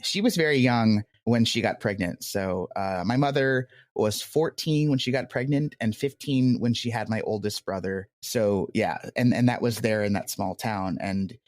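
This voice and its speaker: A man's voice: lowish voice, normal speed, hardly any pauses, no echo, clean studio sound. A man being interviewed as a podcast creator, talking reflectively and acting out bits of imagined speech as he goes.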